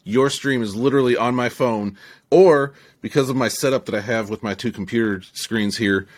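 A man's voice: mid-range voice, moderate pace, no room sound. A man co-hosting a podcast from his home streaming setup, teasing the others with dry jokes. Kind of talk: casual podcast chat.

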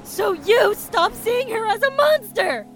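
A woman's voice: extremely high pitch, expressive, takes it slowly, fairly bright tone, soft background music, exaggerated voice. A woman playing a vengeful siren, a menacing and protective sister, in an audio drama. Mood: furious.

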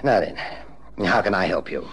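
An actor playing a suave private investigator in an old-time radio drama, measured and polite. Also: male voice, low-pitched, lively intonation, gravelly, a bit bright, breathy edge, exaggerated voice. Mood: polite, intrigued. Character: suave male private investigator telling his case.

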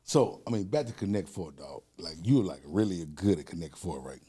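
A middle-aged man chatting casually as a podcast host, telling animated stories and laughing often. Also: sits low, brisk, breathy edge. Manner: animated storyteller, loud bursts when quoting himself, frequent laughter. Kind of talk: casual chat, storytelling.

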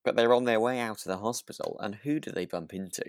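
A man's voice: lowish voice, fast, smooth, dry recording, studio quality. A man in casual podcast chat as co-host, agreeable and hesitant in his phrasing. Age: over 25, adult. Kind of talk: casual chat reviewing a radio drama.

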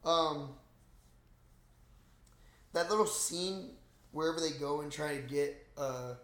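A man's voice: fairly high pitch, slow pace, a bit bright. A young man co-hosting a podcast, casually recapping a movie in a slangy, profane, rambling way. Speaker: podcast co-host. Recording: roomy, echoing room sound.

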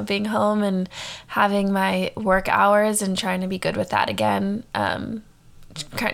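A woman's voice: mid-range voice, vocal fry. A young woman with a cold, sounding congested, chatting and rambling as a podcast co-host on a solo episode. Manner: rambling, chatty, laughs often.

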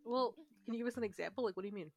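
A woman co-hosting a podcast in casual chat, teasing and quick, often laughing. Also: a little high, gravelly. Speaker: podcast co-host.

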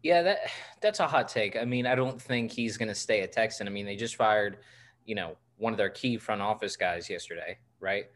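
Twenties guy cohosting a sports pod, kinda low voice, jokey, lots of ums and uhs. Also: moderate pace, fairly bright tone. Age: 20s. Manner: joking, rambling with many fillers. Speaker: podcast co-host. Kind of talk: casual sports podcast chat.

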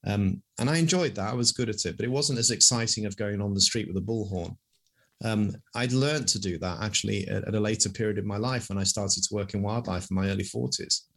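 A man in his fifties, an animal advocacy campaigner, answering interview questions with a loud voice that carries, speaking quickly off the cuff. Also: on the low side, creaky, husky.

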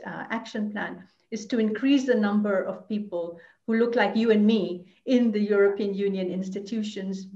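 A woman's voice: medium pitch, darkish tone, roomy sound, muffled recording. A woman commentator answering interview questions thoughtfully, with many fillers and restarts. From Belgium.

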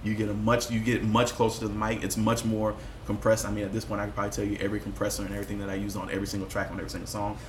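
A man speaking as a musician guest in a candid podcast conversation, telling personal stories in a reflective, expressive way with casual swearing. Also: on the low side, very fast, hardly any pauses. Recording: roomy echo with faint background noise.

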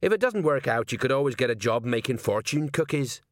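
A man's voice: mid-range voice, animated, brisk, no room sound, studio quality, lightly acted. A man voicing a put-upon middle-aged police sergeant in an audiobook, colloquial, complaining and deferential to his boss. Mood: wry. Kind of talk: audiobook character voice.

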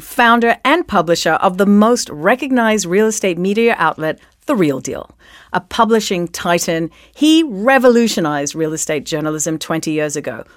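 A woman speaks as the host of an interview podcast. Her voice sits a little low for a woman. The sound is very dry, with hardly any room in it. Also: no room sound. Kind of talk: podcast interview with a scripted introduction.

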